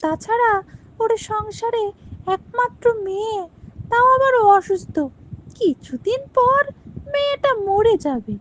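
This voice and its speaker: A woman's voice: extremely high pitch, animated, measured pace, pauses often, a little hiss or hum. A woman voicing a persuasive, scheming village friend who arranges a marriage in a cartoon. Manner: persuasive, coaxing. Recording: dull lo-fi dry dubbing.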